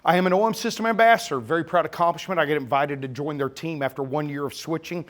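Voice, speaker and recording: A middle-aged man with a slurred Texas-Oklahoma drawl addresses a packed event room at a camera store, his voice sitting a touch higher than most men's. He talks in a loose, joking way, needling the crowd and tossing in sarcasm between points. It is a live keynote presentation. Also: fast talker. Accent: Texas-Oklahoma blend. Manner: joking, teasing, sarcastic, chatty. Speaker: nature photographer giving a keynote talk.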